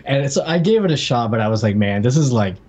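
A man with a husky, mid-pitched voice co-hosts a podcast. He talks in a casual, conversational way, as in an informal live chat. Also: animated, fast talker, a little mellow. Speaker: podcast co-host.

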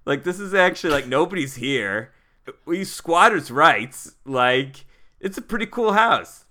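A man's voice: on the high side, animated, measured pace, bright, forceful tone. A man playing a laid-back, sleepy vagabond investigator in a tabletop game, drawling slacker slang. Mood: casual, musing.

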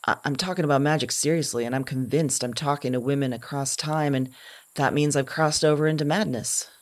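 A woman playing a woman who thinks she talks to women across time, in a halting, searching monologue. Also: very deep voice, steady tone. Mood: earnest, hushed. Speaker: lead character of an audio drama. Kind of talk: intimate dramatic monologue.

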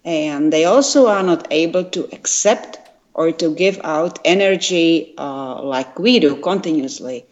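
A retired woman from Czechoslovakia with a Czech accent, explaining science carefully with frequent pauses and fillers. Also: deep voice, a little mellow. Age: older. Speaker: guest, retired quantum chemist. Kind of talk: interview answers. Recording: dull lo-fi sound.

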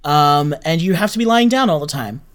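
A man's voice: on the high side, expressive, brisk, a bit bright. A man giving chatty, informal answers as an interview guest. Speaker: interview guest, podcast creator. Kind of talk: casual conversational interview answers.

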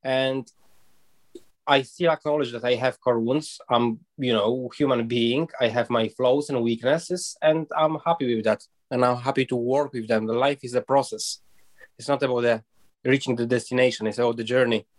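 A man speaks as a guest on a reflective panel. His voice sits at a middle pitch, and he talks in a thoughtful, hesitant way, stopping often to search for the right words. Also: steady tone, normal speed. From Poland. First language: Polish. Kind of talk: reflective discussion.

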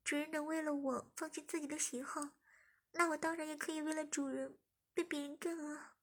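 A woman voicing a young woman playing a submissive captive, pleading and breathy. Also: very high voice, fairly even intonation, normal speed, voice pushed up. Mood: playful. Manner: pleading, breathy, later playful.

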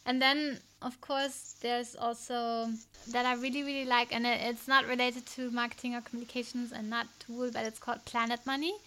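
German woman, podcast host, bright voice, level tone, hesitant fillers. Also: on the high side, flat delivery, brisk, hardly any pauses, slight background noise. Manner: thoughtful, hesitant, many fillers. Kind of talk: casual interview chat.

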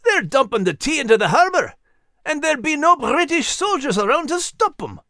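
A man voicing an excitable little talking animal in an audiobook story. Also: extremely high pitch, moderate pace, no echo, studio quality, heavily acted. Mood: spirited. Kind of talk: animated audiobook character acting.

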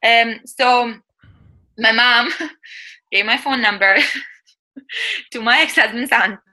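Bright-voiced woman, candid and laughing. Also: fairly high pitch, lively intonation, takes it slowly, low-quality recording. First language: not English. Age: 30s. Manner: candid, laughs often, searches for words. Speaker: guest. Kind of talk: casual interview chat.

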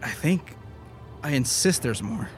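An actor playing a weary but defiant leader of a science team in an audio drama, with a casual, wry delivery. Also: male voice, medium pitch, expressive, a little slow, faint music behind, lightly acted. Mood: reflective, sincere.

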